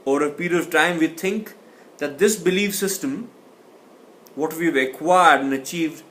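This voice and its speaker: A man's voice: a little high, normal speed, pushed and bright. A man teaching a communication class, speaking thoughtfully and often restarting or repeating words. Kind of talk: explanatory lecture.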